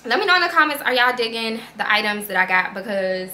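A woman in her thirties runs through a clothing haul at home, chatty and upbeat. Her voice sits a little high and sounds bright and forward. The room around her is echoey, heard through a camera mic a few feet away. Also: expressive, fast, a little hiss or hum. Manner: chatty and upbeat, addresses viewers as y'all and sis. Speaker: fashion vlogger. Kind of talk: casual haul review to camera. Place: living room with plants, indoors.

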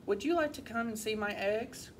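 A woman voicing a gentle mother hen in a storybook, wistful and easily upset, with a plaintive, sighing way of talking. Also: on the high side, normal speed, slight background noise, voice pushed up, close to an everyday voice. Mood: wistful, hopeful.

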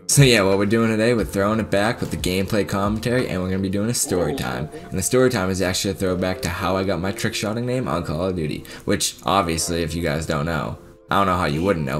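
Low-voiced young man with a Boston accent. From Boston area, Massachusetts. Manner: casual, chatty storyteller talking straight to viewers. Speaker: gaming video creator. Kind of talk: sit-down gameplay commentary with story time.

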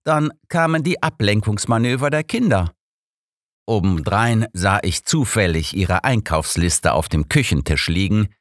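A haughty old gentleman detective , acted out by a male reader, grumpy and sardonic. He speaks formally and emphatically with animated intonation, in a dry audiobook sound. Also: fairly low pitch, measured pace, dry recording, pitched above the performer's own voice. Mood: smug. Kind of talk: audiobook character reading.

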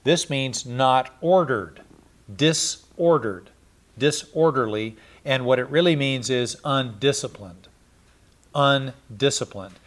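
A man's voice: medium pitch, takes it slowly. A middle-aged man giving a verse-by-verse Bible study, explaining and repeating Greek words, seated in a small studio with a lapel mic. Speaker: Bible teacher.

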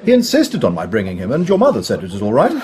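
A man's voice: lowish voice, huge pitch swings, fast talker, darkish tone. An actor playing an urbane, dry, politely skeptical young professional man in a period radio drama. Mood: wry.

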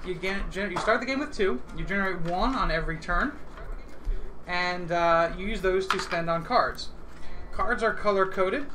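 A man's voice: high voice, normal speed. Man teaching a board game, noisy room. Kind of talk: casual instructional game talk. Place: indoor room around a gaming table.

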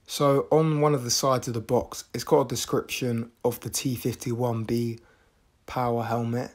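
A man giving an unboxing review voice-over in a measured, matter-of-fact way. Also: medium pitch, little pitch movement. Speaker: video reviewer.

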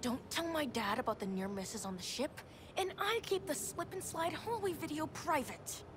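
A woman's voice: high voice, animated, moderate pace, noisy background. An actress playing a wry, casual teenage girl antihero in a video game.

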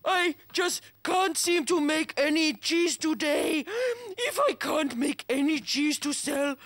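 A woman voicing a big, good-natured, excitable shaggy dog puppet in a children's show, with theatrical, playful exclamations. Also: high voice, slow, dry recording, clean studio sound, full character voice. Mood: theatrical dismay.

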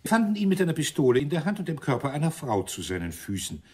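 A man's voice: on the high side, huge pitch swings, fast talker, warm tone, husky, full character voice. A male actor playing a dry, sardonic police inspector in a German radio crime play, ironically polite. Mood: dryly official.

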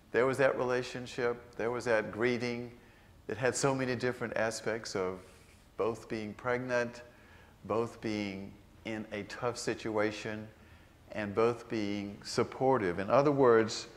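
An older man, a priest, delivering a sermon in a large church, slowing down to stress key phrases. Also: medium pitch. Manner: unhurried, earnest preaching with slowed emphatic phrases.

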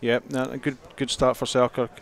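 A man's voice: medium pitch, little pitch movement, moderate pace, vocal fry. A man giving conversational analysis as co-commentator on a live rugby match.

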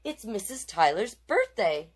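A woman's voice: medium pitch, very animated, measured pace, husky, voice pushed up. A woman voicing an earnest, proud young schoolboy who exclaims eagerly in a children's storybook. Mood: excited, proud.

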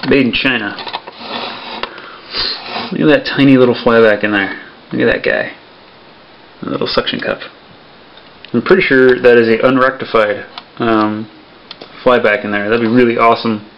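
A man's voice: mid-range voice, normal speed, long pauses. A young man casually showing off electronics bits at his home workbench, rambling with lots of fillers, recorded on the camera's own mic. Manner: relaxed, rambling, many fillers. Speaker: electronics hobby vlogger. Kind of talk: casual show-and-tell vlog. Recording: dull lo-fi camera audio with faint hiss.

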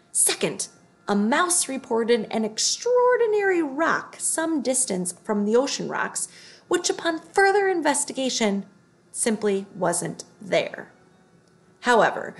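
A woman voicing a solemn, officious storybook wild boar, reporting formally and deliberately. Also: on the high side, vocal fry, exaggerated voice.

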